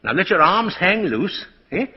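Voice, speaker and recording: A whimsical old Santa figure from 1940s radio, kindly and chuckling. His husky voice swoops widely up and down as he hesitates and asks "eh?". The sound is muffled and dated. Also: high-pitched, sing-song, normal speed, heavily acted. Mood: playful, delighted. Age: older. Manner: chuckling, hesitant, tacks questioning "eh?" onto sentences. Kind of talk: 1940s radio drama acting.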